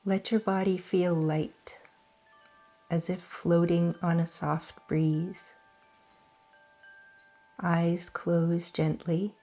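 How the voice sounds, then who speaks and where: A woman guiding a yoga nidra meditation in a slow, soft, soothing voice with long pauses. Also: on the low side. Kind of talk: guided meditation.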